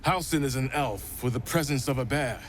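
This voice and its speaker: A man's voice: mid-range voice, a little fast, slight background noise, natural voice. An actor playing a sober, dutiful man in a fantasy video game. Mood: grave. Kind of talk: video game cutscene acting.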